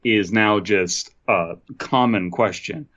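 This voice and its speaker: A man's voice: lowish voice, slow pace, a bit bright, dull audio. A man co-hosting a comedy podcast, riffing casually and crudely in rambling bursts with acted-out quotes.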